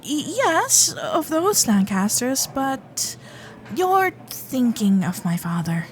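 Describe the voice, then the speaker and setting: A woman's voice: sits high, very animated, takes it slowly, slight background noise, full character voice. A young woman playing a nervous, chatty Gilded Age heiress in a romantic audio roleplay, hesitant and genteel in manner. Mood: nervous, babbling. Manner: flustered, hesitant, babbling, genteel and formal. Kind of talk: romantic period audio roleplay, spoken intimately to listener.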